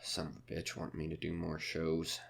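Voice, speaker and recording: A man's voice: very deep voice, flat delivery, fairly quick, creaky, heavy background noise, full character voice. A man playing a jaded, foul-mouthed nightclub comedian in an audio drama, brash, curt and grumbling. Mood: irritated, guarded.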